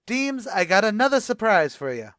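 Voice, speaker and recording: A man's voice: sits high, very animated, moderate pace, a bit bright, dry recording, studio quality. A man playing a brash, cocky tavern manager who mangles his words in an old-time radio comedy. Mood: eager, boastful. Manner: showy, uneducated wisecracking patter with malapropisms.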